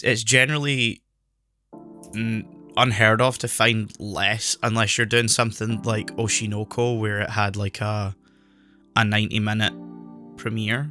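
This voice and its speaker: A man's voice: on the low side, bright, forceful tone. A man co-hosting a casual podcast whose voice is still recovering after he lost it, rambling with many fillers and restarts while he records remotely on a new microphone. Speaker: podcast co-host. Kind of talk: casual chat.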